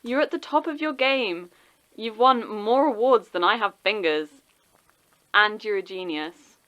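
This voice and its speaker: Slightly dull audio carries a woman as a caring friend in a radio play. Her voice is medium in pitch, wry and gently persuasive, with old hurt underneath. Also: measured pace. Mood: supportive, wry. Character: caring, wounded woman friend, primary school teacher. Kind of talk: naturalistic audio drama acting.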